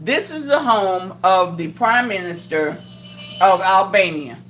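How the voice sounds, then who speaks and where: A woman hosting a livestream chat, her pitch swinging widely as she rants. She swears freely and is openly indignant. She slips into other people's voices to act out the stories she tells. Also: animated, slow pace, fairly bright tone, slight background noise, low-quality recording. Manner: profane, indignant, acts out quoted voices. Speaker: livestream host. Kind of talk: heated political chat on a livestream.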